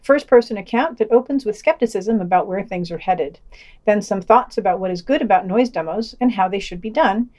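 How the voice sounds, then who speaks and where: Female podcast news reader, average pitch, scripted delivery, dry wit with a pedantic edge. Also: brisk, darkish tone. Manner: dry, wry, pedantic asides. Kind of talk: scripted news digest with wry commentary and read-out quotes.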